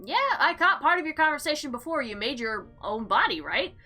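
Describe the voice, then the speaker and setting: A woman narrates a visual novel as a let's play, slipping between dramatic reading and casual commentary. Her voice is fairly high and bright, with highly animated intonation. Light music runs underneath. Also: a little fast. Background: faint background music.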